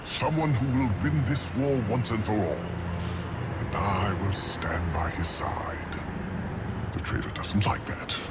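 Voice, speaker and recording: An actor playing a pompous, scheming demon lord in a video game, silky and mockingly courtly. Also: male voice, lowish voice, slow, very noisy. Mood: scheming, insistent. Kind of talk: video game cutscene acting.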